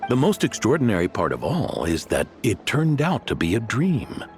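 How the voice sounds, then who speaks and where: Animated, low-voiced older innkeeper. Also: male voice, normal speed, music in the background. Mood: hushed wonder, storytelling. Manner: theatrical, earnest, grandfatherly. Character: proud, indignant older male innkeeper and storyteller. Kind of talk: video game story voice acting.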